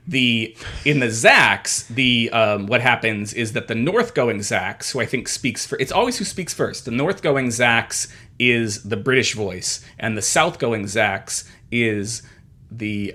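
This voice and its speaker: Man in his thirties, podcast co-host: bright, forceful timbre, meandering delivery with lots of restarts. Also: mid-range voice. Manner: rambling, halting, full of fillers and restarts. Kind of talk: casual comedic chat.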